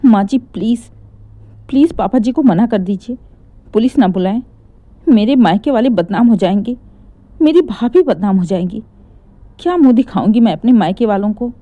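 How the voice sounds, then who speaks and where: A woman's voice: on the high side, expressive, normal speed, pauses often, no room sound, narrow, tinny audio. A woman voicing a cornered young daughter-in-law in a moral story, pleading and anxious. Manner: pleading, repeating please.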